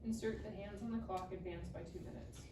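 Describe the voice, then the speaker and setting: A woman's voice: medium pitch, fairly even intonation, fast talker, mellow. A woman reading stage directions as scripted narration at a live table read. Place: live reading event in a room. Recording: echoey room, distant mic, clear noise.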